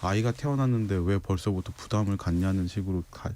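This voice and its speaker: A man in his forties answering interview questions in a measured, polite and thoughtful conversational way. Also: low voice, warm tone. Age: 40s. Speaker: interview guest, writer. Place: radio studio.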